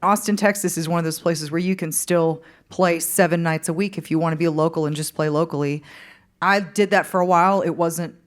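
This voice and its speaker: A woman musician from the Upper Midwest talking frankly with a host in a relaxed interview on a stage. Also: fairly low pitch, fairly quick. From Fargo-Moorhead area, Upper Midwest. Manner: candid, frank, laughs easily. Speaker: musician guest. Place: stage before a live audience.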